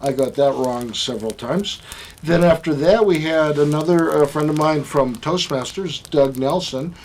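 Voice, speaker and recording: Halting male podcast co-host. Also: on the high side, darkish tone, slight background noise, rough recording. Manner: halting, many fillers and restarts. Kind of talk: casual chat.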